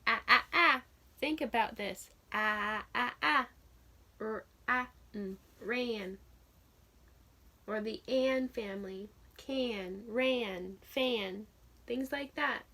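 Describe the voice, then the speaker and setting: A woman teacher reading a picture book aloud to children, sounding out letters and vowel sounds clearly and asking her listeners questions. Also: on the high side, slow pace, sharp tone. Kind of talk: children's read-aloud phonics lesson.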